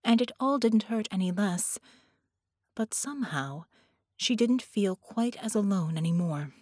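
A woman reading fiction as an audiobook narrator, with dramatic, deliberate and expressive phrasing. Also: medium pitch, no room sound, studio quality.

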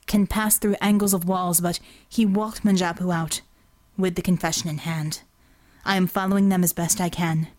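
A woman's voice: lowish voice, fairly even intonation, moderate pace, a little mellow, lightly acted. A woman voicing an earnest, dutiful male field operative giving clipped reports in an audiobook. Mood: terse, focused.

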